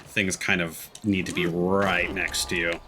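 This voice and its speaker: Low-voiced man commentating on a game. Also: level tone, measured pace, noisy background. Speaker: streamer. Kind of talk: casual gameplay commentary.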